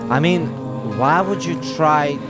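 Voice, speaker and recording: A man's voice: on the high side, slow. A man from Russia with a Russian accent, hosting a game walkthrough with playful, chatty asides and reading dialogue choices aloud. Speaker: gaming video host. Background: game music.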